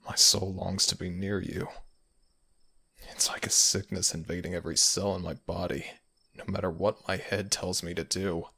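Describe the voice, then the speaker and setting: A brooding kitsune spirit, acted by a man, possessive and protective. The voice is very low and crackles with gravel, yet keeps a crisp forceful edge. The speech is intimate and archaic, made for romantic ASMR roleplay. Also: flat delivery, normal speed, full character voice. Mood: brooding, longing. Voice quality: gravelly, breathy at times.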